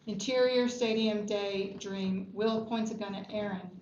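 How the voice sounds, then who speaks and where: A dull, echoing room recording of a woman narrating. She reads scripted stage directions at a table read, with a mid-range pitch. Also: steady tone, measured pace, darkish tone. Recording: dull, echoey room with background noise.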